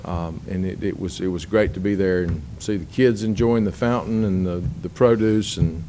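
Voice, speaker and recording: Low-voiced man chairing a county meeting. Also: fairly even intonation, warm tone, slight background noise. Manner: halting, many restarts and fillers. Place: county board meeting room.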